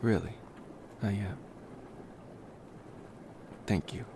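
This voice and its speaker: A man acts out a young male vampire boyfriend in an intimate ASMR roleplay, his voice low and very slow. He is soft, affectionate and hesitant, pausing between short phrases. A clear noise runs behind him. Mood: relaxed, affectionate.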